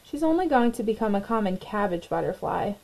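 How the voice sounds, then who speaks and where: A woman's voice: mid-range voice, very animated, a little fast, darkish tone, poor audio. A woman voicing a conceited, scornful female caterpillar in a storybook, scoffing and haughty.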